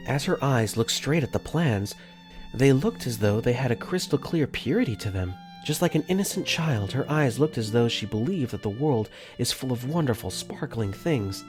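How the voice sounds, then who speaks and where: Brief: male narrator-lead, lively pitch, earnest and flustered, first-person visual novel dub. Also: moderate pace, over music.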